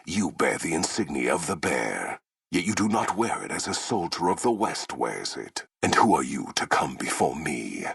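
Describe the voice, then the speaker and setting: Huge imperious warlord villain for a video game, super gravelly, hugely swooping delivery, grand and threatening. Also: male voice, extremely low pitch, a bit bright, no room sound, clean studio sound, exaggerated voice. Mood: haughty challenge. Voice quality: gravelly, husky. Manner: grandiose, menacing, formal archaic phrasing. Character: merciless, imperious warlord commanding a slave army. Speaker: army commander.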